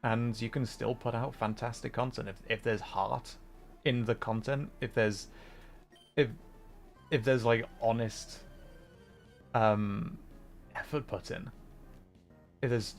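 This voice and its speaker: A man hosting a livestream, chatting casually in a hesitant, thoughtful, rambling way with frequent pauses while he plays a game. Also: lowish voice, vocal fry. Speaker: livestream host. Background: background music.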